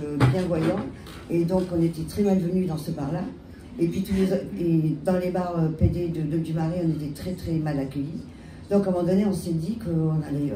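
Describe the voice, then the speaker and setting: A woman with a French accent and a head cold, telling her story on a panel with halting restarts. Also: low-pitched. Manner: halting, many restarts and fillers. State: has a cold. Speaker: bar owner, panelist. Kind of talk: panel talk, recounting her history. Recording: echoey room, distant mic, loud background noise.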